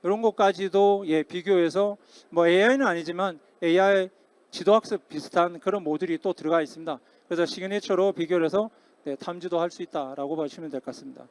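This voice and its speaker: A man speaking as a technical expert in a formal conference Q&A, explaining politely and hesitantly with frequent pauses. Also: high voice. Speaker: conference speaker, security company technical director answering questions. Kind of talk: formal Q&A after a presentation. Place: conference hall stage, amplified talk.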